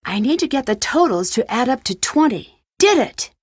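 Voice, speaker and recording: A woman's voice: on the high side, big pitch swings, normal speed, dry recording, clean studio sound. A woman playing a curious young female detective in a video game, musing to herself. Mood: triumphant. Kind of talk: video game character acting.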